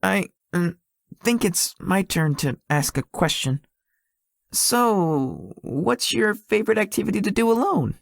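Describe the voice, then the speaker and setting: A woman's voice: medium pitch, big pitch swings, a little slow, gravelly, dry recording, clean studio sound, exaggerated voice. A woman voicing a shy, easily flustered, sweet young man in a visual novel, with a hesitant manner. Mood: flustered, embarrassed. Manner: hesitant, stammering when embarrassed. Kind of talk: visual novel character acting.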